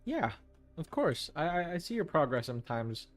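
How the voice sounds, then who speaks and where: A man's voice: fairly high pitch, big pitch swings, normal speed. A man hosting a live art stream, reading out chat messages and answering them casually, with some hesitant restarts. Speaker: livestream host. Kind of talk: casual chat with viewers while painting.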